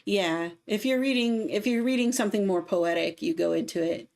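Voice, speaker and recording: A woman's voice: medium pitch, brisk, vocal fry, a little mellow. Woman, thirties, light midwestern accent, casual livestream chat, frequent laughs, home desk mic. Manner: laughs often, explains singing technique. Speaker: streamer and cover singer. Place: home room, indoors.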